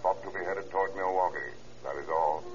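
An actor playing a stern police dispatcher in an old radio drama, announcing formally and tersely. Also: male voice, sits low, a little fast, lightly acted. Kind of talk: 1930s police bulletin radio acting. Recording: muffled old radio, loud hum and noise.

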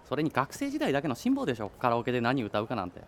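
An actor playing a blunt, exasperated high-school boy, the curt straight man in a naturalistic radio drama, with ambient noise around him. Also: wildly swooping pitch, very fast. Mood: dry, dismissive. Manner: curt, dry retorts. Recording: noisy ambient background.

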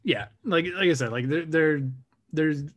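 A man's voice: fairly high pitch, unhurried. A man in his thirties from Southern California, hosting a casual fan podcast and rambling with lots of fillers and restarts. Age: 30s. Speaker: podcast host. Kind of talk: casual chat.

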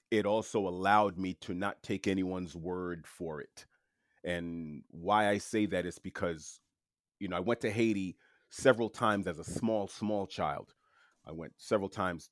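Interview guest: New man, very low voice, unhurried and halting, lots of fillers, dry close sound. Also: dry recording. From Queens, New York City. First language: French. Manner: relaxed, halting, with many fillers and restarts. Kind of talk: casual storytelling.

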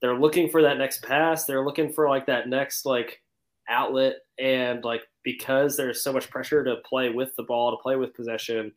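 Mid-pitched man in casual sports discussion. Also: steady tone, normal speed. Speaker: podcast panelist.

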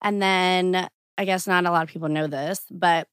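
A woman's voice: fairly low pitch, fairly even intonation, moderate pace, fairly bright tone, clear, resonant tone, no echo, studio quality. Chatty young woman from Brazil. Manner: chatty, lots of "like", laughs often. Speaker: guest. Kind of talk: casual podcast chat.

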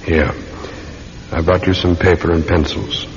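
A man's voice: sits low, flat delivery, measured pace. A man acting an earnest, methodical Scotland Yard sergeant in a classic radio drama, questioning politely and insistently. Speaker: actor in a radio mystery serial. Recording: muffled old radio recording with faint hiss.

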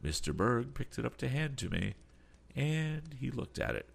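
A man voicing a calm, polite older sea captain who keeps adding apologetic asides. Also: medium pitch, normal speed, gravelly, breathy edge, voice pushed up, exaggerated voice.

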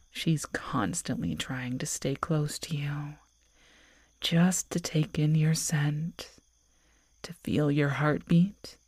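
A woman's voice: sits low, darkish tone, breathy edge, no echo, exaggerated voice. A woman playing a predatory, teasing werewolf girlfriend in an intimate, half-whispered ASMR roleplay, close to the microphone. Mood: hungry, obsessed.

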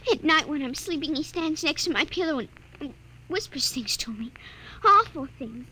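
A timid, tearful little girl with a high, small voice. She sounds scared and pleading, in an old radio drama with faint hiss. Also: lively intonation, normal speed, sharp tone. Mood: frightened. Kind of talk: 1940s radio acting.

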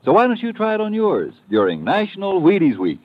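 A man's voice: high voice, wildly swooping pitch, a little fast, no room sound, exaggerated voice. An actor playing a folksy, contented American family man in an old-time radio commercial, chatting to the listener conversationally. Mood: folksy, persuasive. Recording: dull, dry vintage radio recording.

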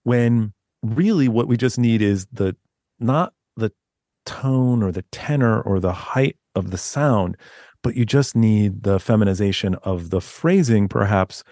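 A man with a deep voice, a guest author talking in a loose, rambling way with many restarts. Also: unhurried, warm tone, no room sound, studio quality. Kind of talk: casual interview chat.

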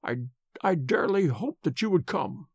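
A man voicing a gravely sick, pleading man with a weak, whispery, labored voice in an audiobook story. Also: high voice, big pitch swings, normal speed, no room sound, clean studio sound, exaggerated voice. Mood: weak, grateful. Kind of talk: dramatized audiobook reading.

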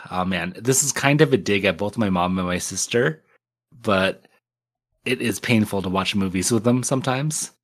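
Hesitant man, low voice. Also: moderate pace. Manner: hesitant, many likes and restarts. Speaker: podcast co-host. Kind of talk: casual chat.

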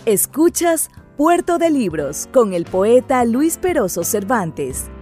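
A woman announces a radio show over music in a mellow voice. Her scripted read is slow, with lively swings of pitch. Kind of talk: scripted radio station ident.

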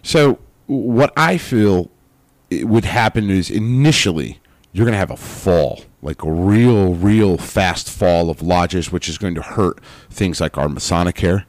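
A man co-hosting a casual podcast, talking bluntly and excitably, swearing freely. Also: low voice, measured pace, dry recording. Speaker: podcast co-host.

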